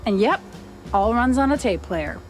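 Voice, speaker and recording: A woman's voice: a little high, animated, normal speed, faint music behind, lightly acted. A woman playing a sassy, jaded 1930s newspaper reporter mouse in a noir cartoon game. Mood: businesslike. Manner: wry, world-weary.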